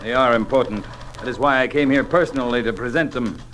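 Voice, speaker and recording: Actor as quick, officious ministry man. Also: mid-range voice, fairly bright tone, lightly acted. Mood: cold, commanding. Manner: formal, stilted, precise diction. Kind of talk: mid-century radio drama acting. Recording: old radio recording with background noise.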